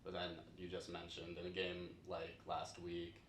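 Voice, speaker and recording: A man asking a question at a press conference as a newspaper reporter, plain and measured. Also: deep voice, completely flat delivery, a bit bright, a little hiss or hum. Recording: distant, roomy.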